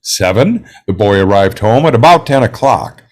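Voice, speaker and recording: A man playing a composed, self-assured juror in a script table read, speaking in a measured, precise way. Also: on the low side, animated. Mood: confident, methodical.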